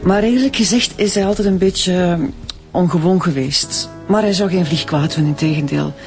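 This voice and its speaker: A woman's voice: fairly low pitch, normal speed, a little mellow, faint music behind, lightly acted. An actress playing a guarded, worried woman in a radio drama, speaking hesitantly with a Flemish accent. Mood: guarded, sad. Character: guarded, worried sister questioned by police.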